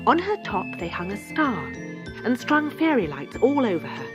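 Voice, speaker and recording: A woman reading a children's story in an expressive, theatrical narrator's style. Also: on the high side, moderate pace, loud music behind the voice. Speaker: storybook reader.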